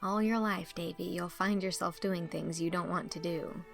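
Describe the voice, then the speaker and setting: A woman playing a gentle, patient young woman talking to a child in an audiobook, affectionate and measured. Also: on the low side, fairly even intonation, gravelly, faint music behind. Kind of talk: audiobook character acting.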